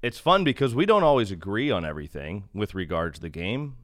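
A man with a deep voice talks as a guest in a relaxed interview. He is a radio announcer, speaking in an earnest, reflective, conversational way. The recording is very dry. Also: brisk, dry recording. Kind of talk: casual interview chat.

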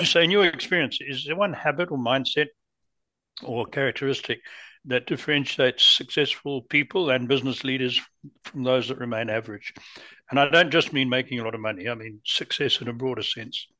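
A man who grew up in Africa co-hosting an interview show, affable and clearing his throat often. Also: medium pitch, brisk, pushed and bright, dry recording, rough recording. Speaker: podcast co-host.

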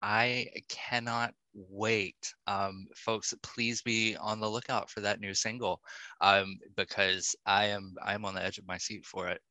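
A man hosting a podcast interview casually, setting up his questions in a deliberate way. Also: on the low side, little pitch movement, bright, forceful tone, dry recording, rough recording. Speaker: podcast host.

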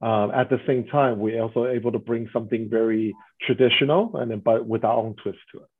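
A man from Taiwan, a restaurant guest answering interview questions at length and often tagging his points with a quick "right?". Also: medium pitch, slightly rough. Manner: explanatory, often adds "right?" tags. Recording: muffled phone-like line.

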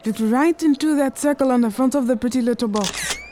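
A woman playing a proud, commanding businesswoman in 1920s Harlem, imperious and cutting, speaking with slow, deliberate weight. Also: high voice, mellow, a little hiss or hum, lightly acted. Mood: haughty commanding. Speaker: lead character. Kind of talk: 1920s period drama acting.

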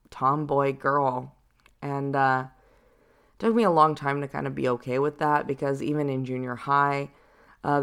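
A young adult woman with a deep voice, hosting a personal reflective podcast in a casual, rambling way with lots of fillers. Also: gravelly, smooth. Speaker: podcast host.

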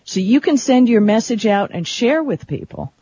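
A woman's voice: a little high, sing-song, normal speed, dark tone, husky. A woman co-hosting a talk radio show in casual, conversational discussion. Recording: dull lo-fi radio stream.

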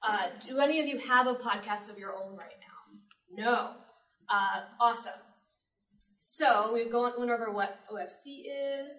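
A woman's voice: on the high side, a little slow, a bit bright. A woman moderating a live panel in a casual, conversational way as its host. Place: public library event room. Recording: roomy live panel recording, distant mic.

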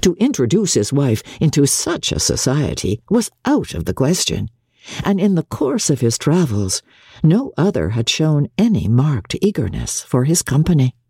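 Woman narrator, literary audiobook, mellow tone, widely varied intonation, deliberate phrasing. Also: sits low, creaky, slightly rough, no room sound, clean studio sound. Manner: measured, expressive, deliberate phrasing. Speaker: narrator reading a classic novel aloud.

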